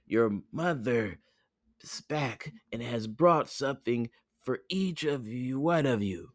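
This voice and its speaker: Storybook wolf, male reader: villainous, sly, wheedling, pitched up in disguise. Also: lively intonation, slow pace, dry recording, studio quality.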